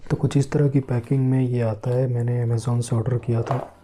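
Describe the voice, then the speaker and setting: A man giving casual unboxing commentary as a product vlogger, speaking haltingly in short broken phrases. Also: mid-range voice, level tone, very noisy. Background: background music.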